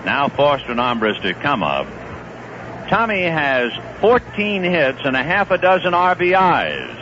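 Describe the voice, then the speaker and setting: 1970s radio baseball announcer, lively pitch. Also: male voice, a little slow. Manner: lively, conversational play-by-play with bursts of excitement. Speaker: play-by-play announcer. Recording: dull 1970s radio broadcast with hiss.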